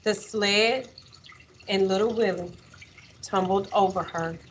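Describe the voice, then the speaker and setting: A woman teacher reading a children's story aloud in an expressive, measured way, with dramatic pauses. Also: mid-range voice, a little hiss or hum.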